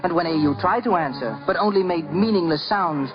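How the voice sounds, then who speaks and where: A man's voice: high voice, a little fast. A man narrating a scripted story in 1950s radio style, theatrical and lyrical with dramatic emphasis. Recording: dull old radio recording. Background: prominent music.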